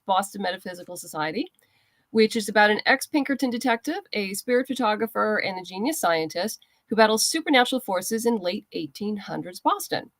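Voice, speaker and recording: A woman's voice: mid-range voice, a bit bright, no echo. A woman being interviewed as a writer, giving explanatory, conversational answers.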